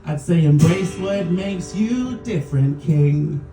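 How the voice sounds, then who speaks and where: A man's voice: on the high side, lively intonation, slow, far from the mic. A man in his thirties, a musical comedian, singing jokey story songs with guitar and chatting with the crowd between them. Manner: jokey sung storytelling, chuckling between lines. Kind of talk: comic songs with guitar and stage banter. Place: small bar venue stage, live audience. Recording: roomy live club recording from afar, with guitar.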